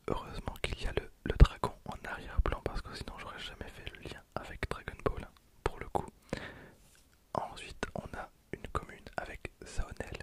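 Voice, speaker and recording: An ASMR creator in their twenties speaking in a hushed, breathy whisper, casually commenting on card packs and softly repeating phrases. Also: extremely high pitch. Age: 20s.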